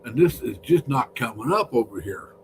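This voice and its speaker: A man chats loosely on a live stream, his voice deeper than usual from a cold. He rambles and greets viewers by name, at a middling pitch, over a faint hum. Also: lively intonation, moderate pace. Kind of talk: casual live-stream chat.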